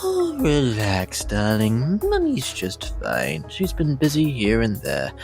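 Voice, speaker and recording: Cartoon lady unicorn, female reader: low, hugely varied delivery, affected airs, vain and theatrical, slightly mad. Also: huge pitch swings, slow pace, a little mellow, full character voice. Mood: breezy, self-satisfied. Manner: affected, haughty, says darling. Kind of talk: dramatic fan reading of cartoon characters. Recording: music behind the voice.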